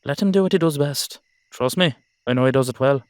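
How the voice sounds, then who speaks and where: A man's voice: medium pitch, a little fast, clear, resonant tone, no room sound, studio quality, close to an everyday voice. A man acting an earnest, loyal old Irish friend in an audio roleplay, emphatic, coaxing and salty-tongued. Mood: reassuring.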